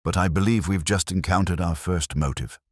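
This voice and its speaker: A man voicing a mild, shrewd English police inspector in a mystery story, speaking gently, smoothly and politely. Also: low voice, normal speed, no echo, studio quality. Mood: quietly shrewd. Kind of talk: audio mystery story dialogue.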